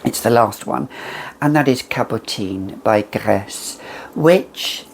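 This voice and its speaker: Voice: mid-range voice, takes it slowly, gravelly, a little hiss or hum. A perfume reviewer chatting casually and emphatically to camera, with a whimsical, conversational manner.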